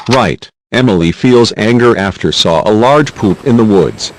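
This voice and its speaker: A man's voice: lowish voice, animated, measured pace, a little hiss or hum, poor audio. A man reading scripted narration for a children's quiz video, with simple prompts in non-native English phrasing.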